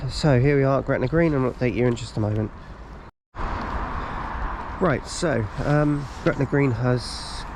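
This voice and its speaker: A man with a cold-stuffed nose talks casually and rambles a little, filling gaps with ums as he narrates. He is recorded outdoors on a camera mic. Clear outdoor noise and passing trains fill the background. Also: medium pitch, moderate pace, pauses often. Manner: chatty, lots of ums and restarts. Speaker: railway vlogger. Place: outdoors on station platforms.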